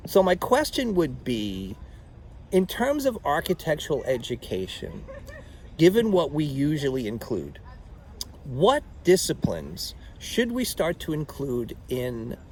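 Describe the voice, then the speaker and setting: Male host, expressive pitch, rambling, faint traffic hiss outside. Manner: animated, rambling. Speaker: show host. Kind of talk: conversational interview. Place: outdoor botanical garden.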